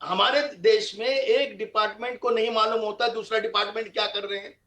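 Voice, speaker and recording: A man's voice: high-pitched, fairly even intonation, a little fast, bright, forceful tone. A man arguing critically as a TV panel guest, posing rhetorical questions, in a roomy, echoing recording. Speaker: panel guest on a consumer affairs TV show. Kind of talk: TV panel discussion, opinionated argument.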